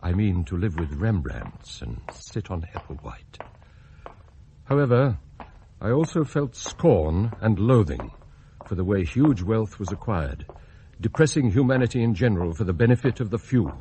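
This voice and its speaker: An actor playing a scheming, cultured English butler in a radio drama, articulate, pompous and confiding. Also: male voice, lowish voice, very animated, normal speed, dark tone, slight background noise. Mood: confiding, superior. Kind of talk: radio drama acting, first-person narration and dialogue.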